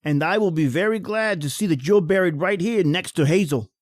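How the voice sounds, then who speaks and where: An actor playing a wry, world-weary painter with a morbid wit, in a theatrical old-time radio horror style. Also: male voice, high voice, fairly quick, no echo, studio quality, close to an everyday voice. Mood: dry.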